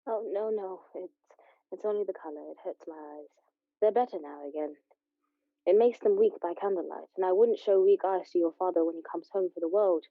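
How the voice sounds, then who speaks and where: A woman's voice: fairly low pitch, brisk. An actress playing a tender, weary mother of a poor family in a radio play. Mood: weary, brave. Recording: tinny phone-like sound, roomy.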